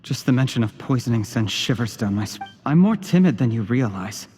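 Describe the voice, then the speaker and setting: A man's voice: mid-range voice, very animated, a little fast, warm tone, slightly rough. A man voicing a timid, deferential, conniving underling in a video game. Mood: meek, scheming.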